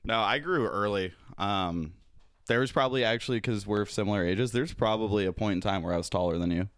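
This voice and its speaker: A man's voice: lowish voice, brisk, a bit bright, dry recording. A young man co-hosting a podcast, chatting casually with friends, teasing and laughing often. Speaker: podcast co-host. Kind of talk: casual chat.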